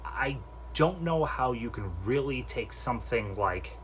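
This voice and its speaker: A man's voice: mid-range voice, expressive, unhurried. A man hosting a casual movie countdown review, speaking informally and with strong opinions, with some mild swearing. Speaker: host of a movie countdown video.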